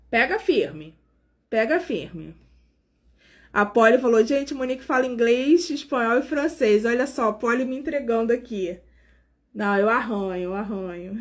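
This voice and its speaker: A woman, hosting a casual live stream as a nurse and chatting directly with her viewers, sounding tired. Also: fairly high pitch. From Brazil. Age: 40s. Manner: chatty, warmly addresses viewers directly, frequent fillers.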